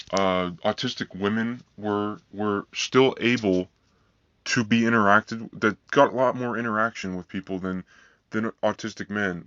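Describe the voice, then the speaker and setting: A man with a flat, monotone voice delivers a heated, profanity-laced rant, circling back to the same complaints. The recording sounds dull and lo-fi, with a dry, close feel and no room around it. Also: on the low side, no room sound. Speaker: vlogger. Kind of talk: angry ranting monologue.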